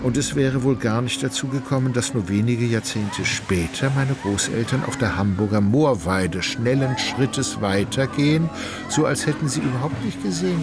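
A man narrating a literary radio play in a measured, precise, faintly ironic manner. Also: mid-range voice.

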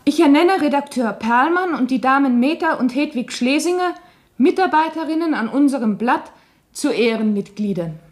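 A ceremonial club chairwoman in a radio drama. Her voice is high and she proclaims each item formally, as if officiating at a meeting. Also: animated, moderate pace. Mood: ceremonial, proud. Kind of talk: radio play, formal announcement.

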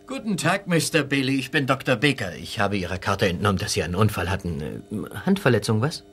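Gravelly, gentle doctor. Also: male voice, on the low side, big pitch swings, fairly quick, slight background noise. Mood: gentle, attentive. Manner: soothing, hesitant, careful. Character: gentle, attentive male doctor. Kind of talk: German radio drama acting.

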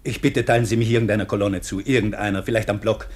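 An actor playing a reserved, polite former professor in a radio play, formal, hesitant and guarded. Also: male voice, fairly low pitch, fairly even intonation, quick pace, a little mellow, lightly acted. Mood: guarded, subdued. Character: reserved, polite former geology professor seeking hard labour.

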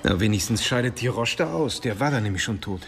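A man's voice: on the low side, lively intonation, fast. A man playing a practical, teasing police colleague who talks in a wry, matter-of-fact way in a radio crime drama.

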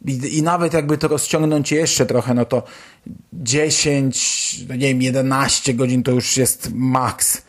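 A man's voice: medium pitch, steady tone, unhurried. Chatty Polish man hosting a podcast. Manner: colloquial, chatty, mild swearing. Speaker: podcast host. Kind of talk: casual podcast news commentary.

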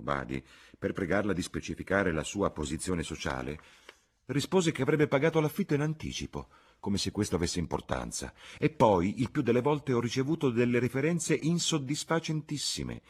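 A man voicing a fussy, plaintive, snobbish country gentleman in an audiobook, talking in a fretful, wordy, exclamatory way. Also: sits low, husky, full character voice. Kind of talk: audiobook character voice.

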